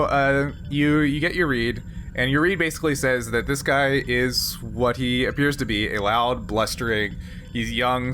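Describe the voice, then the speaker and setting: A man's voice: medium pitch, a bit bright. Male game master over background music. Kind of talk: improvised tabletop game narration. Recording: music playing behind the voice.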